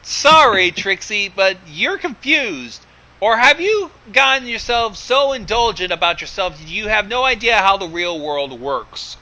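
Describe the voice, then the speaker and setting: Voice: medium pitch, very animated, measured pace, bright, forceful tone, poor audio. A guest commentator casually joking about a story, sweary and given to exasperated exclamations.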